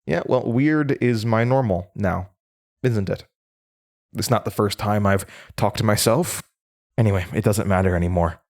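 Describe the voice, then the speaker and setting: A man's voice: on the low side, moderate pace, a little mellow, lightly acted. A young man in a horror audio drama playing a weary, defiant college student haunted by his past, candid and dryly resigned. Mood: weary defiance. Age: young adult.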